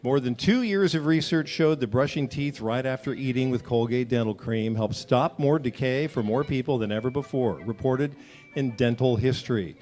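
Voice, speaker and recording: A man's voice: mid-range voice, normal speed, a little mellow. A male commercial announcer with a mid-range voice. He reads scripted ad copy in 1940s radio style, with a little music around him.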